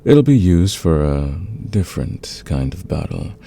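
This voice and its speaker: A man playing a smitten, dominant fantasy villain in a romantic ASMR roleplay, speaking in an intimate, teasing, confident way with a gravelly, husky voice. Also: low voice, very animated, unhurried, mellow, full character voice. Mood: confessional, seductive. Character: smitten male fantasy villain, patient, dominant, smoothly seductive.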